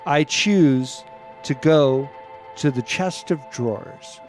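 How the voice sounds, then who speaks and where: A man hosting a comedy podcast in a casual, deadpan way with a deliberate, halting delivery. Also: on the high side, faint music behind. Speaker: podcast host.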